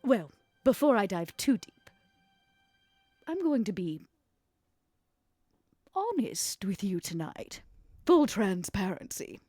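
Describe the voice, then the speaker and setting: A woman playing a scheming, worldly female vampire businesswoman in a tabletop roleplay, speaking in a theatrical, deliberate, insinuating way. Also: mid-range voice, very animated, warm tone, no echo, faint music behind. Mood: coy, conspiratorial.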